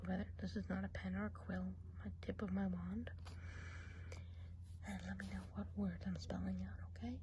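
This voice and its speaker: A woman's voice: mid-range voice, mellow, slight background noise, lightly acted, poor audio. A woman playing a gossipy female witch of the woods in an ASMR role play, whispering confidingly while giving an eye exam. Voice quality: mostly whispered.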